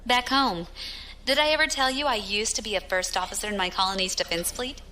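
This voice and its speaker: Bright-voiced young space pirate captain played by a woman. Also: medium pitch, brisk, slight background noise, close to an everyday voice, poor audio. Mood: wry, nostalgic. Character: young female space pirate captain, battle-hardened, proud, haunted. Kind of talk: science fiction audio drama acting.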